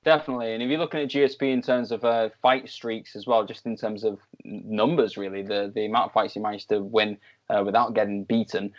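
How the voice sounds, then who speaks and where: A young man with a Manchester accent, co-hosting a casual sports chat in an animated way, with lots of fillers and restarts. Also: mid-range voice. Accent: Mancunian English. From England. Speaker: co-host. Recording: slightly dull lo-fi audio.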